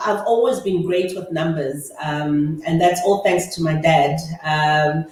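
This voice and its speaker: A woman in her thirties from South Africa's Eastern Cape, Afrikaans her first language, answering interview questions in a measured way with many pauses. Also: fairly low pitch, a little mellow. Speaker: guest, chartered accountant. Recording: echoey room, distant mic.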